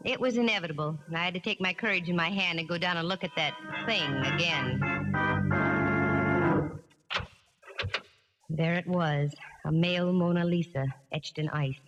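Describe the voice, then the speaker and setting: An actress playing a wisecracking, tough American private eye, narrating in a wry, hard-boiled 1940s radio drama style. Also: female voice, lowish voice, fairly quick, few pauses, highs cut off, lightly acted. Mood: brooding narration. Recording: 1940s radio recording, reverberant, music behind.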